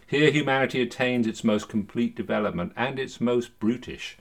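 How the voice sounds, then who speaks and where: A man's voice: mid-range voice, normal speed, creaky. A man hosting a podcast, talking in an erudite, explanatory way and sometimes reading out quotations. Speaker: podcast host. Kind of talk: discursive conversation with read-out historical quotes.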